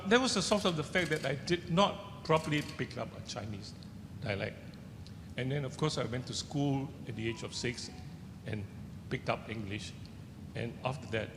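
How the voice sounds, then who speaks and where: Older Singaporean man in an echoey hall. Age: older, seventies or above. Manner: halting, searching for words. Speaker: author on a festival panel. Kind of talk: personal anecdotes and book reading to an audience. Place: large indoor event hall with seated audience. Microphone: handheld microphone. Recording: echoey hall, rumbling background noise.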